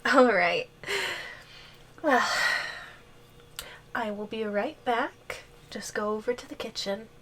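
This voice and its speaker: A woman's voice: fairly high pitch, a bit bright, slight background noise. A woman playing a possessive yandere giantess girlfriend in an ASMR roleplay, sweetly menacing and playfully teasing. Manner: slow, playful teasing with frequent giggles. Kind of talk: ASMR roleplay audio drama.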